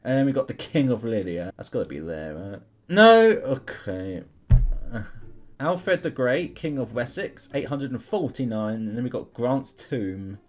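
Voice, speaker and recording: Animated young man, casual gaming commentary. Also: mid-range voice, unhurried. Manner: chatty, informal, thinking aloud. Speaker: gaming video host. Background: music behind the voice.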